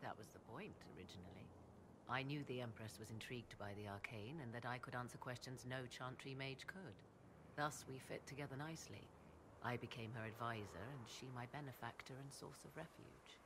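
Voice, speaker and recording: An actress playing a proud, enigmatic sorceress in a video game, speaking in theatrical, archaic and formal phrases. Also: female voice, rumbling low, fairly quick, noisy background. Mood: wry, reflective. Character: proud, enigmatic apostate sorceress, arcane advisor. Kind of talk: video game dialogue acting.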